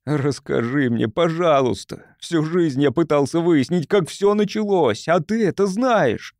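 A man's voice: a little high, animated, measured pace, a little mellow, no room sound, studio quality, pitched above the performer's own voice. A man doing a desperate, pleading explorer. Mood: pleading insistent. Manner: pleading, insistent, trembling. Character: anxious, eager male explorer. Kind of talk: audiobook character voice.

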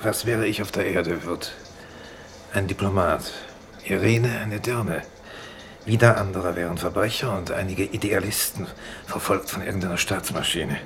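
Casting: laconic exiled physician, low voice, resigned and matter-of-fact, noisy vintage radio play. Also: male voice, moderate pace. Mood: resigned, grave. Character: resigned stoic exile doctor on a harsh planet. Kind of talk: 1950s German radio play acting. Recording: noisy 1950s radio recording.

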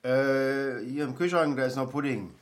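An actor playing a frail, flustered old man in a naturalistic radio drama, halting and muttering. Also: mid-range voice, slight background noise, lightly acted. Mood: fumbling, explaining. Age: older.

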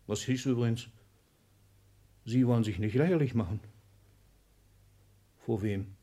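An actor in a 1960s German radio drama playing a proud, combative seventy-year-old patriarch, theatrical and commanding, moving between muttering and thundering. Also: male voice, medium pitch, a little slow, warm tone, lightly acted. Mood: sharp, suspicious.

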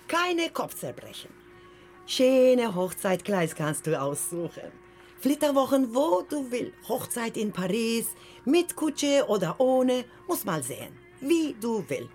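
Radio drama: businesslike fixer, halting soothing sales talk, unhurried pace, lively intonation. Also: female voice, fairly high pitch, soft background music, exaggerated voice. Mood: coaxing, businesslike. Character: businesslike foreign marriage fixer, coaxing and unflappable.

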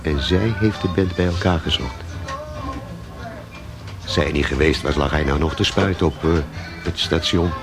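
A very low-voiced barman in a radio drama, with a creaky, gravelly edge. He rambles and corrects himself, talkative and cynical, with the hesitant delivery of everyday talk. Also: measured pace, long pauses, music in the background. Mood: reflective, halting. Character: talkative café barman, cynical, fond of missing bandmate. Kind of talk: naturalistic radio drama acting.

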